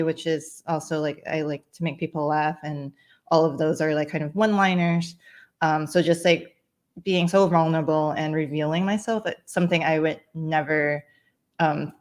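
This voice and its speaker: A woman in her thirties speaks in a low register. She is casual and candid, a little hesitant and giggly, and makes light of herself as she answers. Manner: self-deprecating, giggly, hesitant. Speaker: filmmaker and comedian, panel guest. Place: small room at home.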